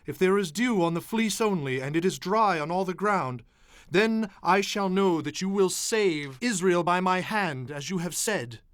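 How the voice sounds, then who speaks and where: A man voicing an earnest, humble Israelite leader in a scripted Bible dramatization, speaking formally and deliberately. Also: sits high, expressive, a bit bright, no echo, pitched above the performer's own voice. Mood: earnest pleading.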